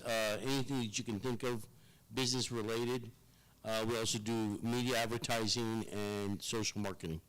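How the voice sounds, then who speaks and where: A man, giving a loud, rambling pitch to a city board with many fillers and restarts. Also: clean tone, rough recording. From Rio Grande Valley, Texas. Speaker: business presenter at a board meeting. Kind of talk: public comment speech. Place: city board meeting room.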